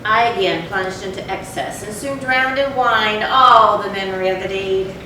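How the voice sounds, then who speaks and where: A woman playing a tormented, confessing animal lover turned cruel drunkard, in a deliberate, formal, theatrical reading of a gothic horror story. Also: mid-range voice, pushed and bright, natural voice. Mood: remorseful, brooding. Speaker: readers theatre performer. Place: large echoey room, far from the mic. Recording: very echoey, distant, noisy live hall recording.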